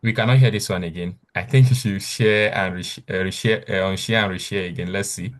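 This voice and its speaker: A man's voice: sits low, steady tone, fairly quick. A man moderating an online session, giving casual, practical technical instructions over a video call.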